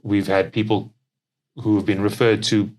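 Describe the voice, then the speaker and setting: Young South African man, lowish voice, company co-founder in casual podcast conversation. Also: level tone, moderate pace, darkish tone.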